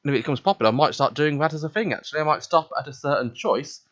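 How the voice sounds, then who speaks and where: A man's voice: a little high, very fast, a bit bright, no echo, lo-fi sound. A man hosting a let's play, reading visual novel narration aloud and commenting casually, stumbling now and then.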